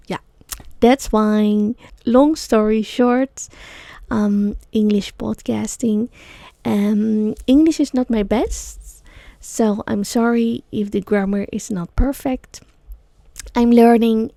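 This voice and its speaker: A woman from the Netherlands talks in English with a Dutch accent, pausing often to find her words. Her voice is soft and mellow, and her pace is slow and unhurried. She is recorded dry and close, with faint music beneath her. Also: mid-range voice, creaky, no echo. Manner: slow, searching phrasing with many fillers. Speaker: podcast host, sound bath guide. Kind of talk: solo podcast monologue. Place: small studio.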